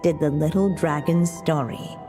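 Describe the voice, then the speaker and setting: A woman playing a solemn, tender motherly storyteller in a video game, with measured, formal storybook cadence. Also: low voice, in a large hall. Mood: gentle, tender. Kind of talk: scripted storybook narration in a game. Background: music.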